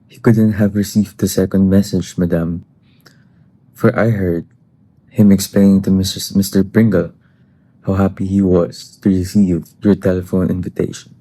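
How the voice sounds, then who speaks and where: Man playing a very dark-voiced, impassive butler. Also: low-pitched, flat delivery, normal speed. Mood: formal. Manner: formal, impassive. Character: formal, deferential household butler. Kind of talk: comic stage-play acting.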